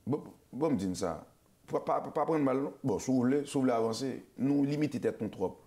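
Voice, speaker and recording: Haitian guy hosting a chat show, rattles along fast, trips over words, repeats himself. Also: medium pitch, lively intonation, mellow. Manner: fast, repetitive, stammering restarts, mixes Creole and English. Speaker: show host. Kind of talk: casual talk-show chat.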